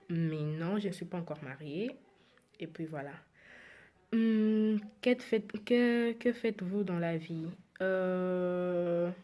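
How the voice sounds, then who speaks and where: A woman's voice: lowish voice, dark tone. A woman in her twenties who grew up in Belgium and is a native Lingala speaker, casually introducing herself and answering followers' questions, repeating phrases for emphasis. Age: 20s.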